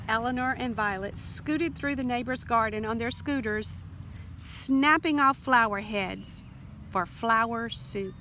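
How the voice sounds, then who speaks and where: A woman reading a children's storybook aloud in an expressive, deliberate narration. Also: a little high. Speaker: storybook reader. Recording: faint background noise.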